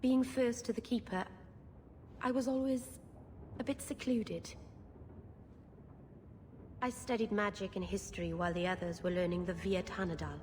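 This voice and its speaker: An actress playing an awkward, earnest, bookish young elf mage in a video game. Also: female voice, mid-range voice, steady tone, moderate pace, long pauses, darkish tone, close to an everyday voice. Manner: earnest, self-deprecating, socially awkward. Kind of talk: video game dialogue and storytelling. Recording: reverberant room, clear background noise.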